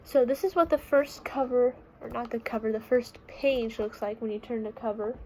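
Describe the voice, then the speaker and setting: A woman reading a picture book aloud to children, explaining simply and pausing to put questions to her listeners. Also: a little high, fairly even intonation, a little mellow, slight background noise, low-quality recording. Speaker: read-aloud storyteller.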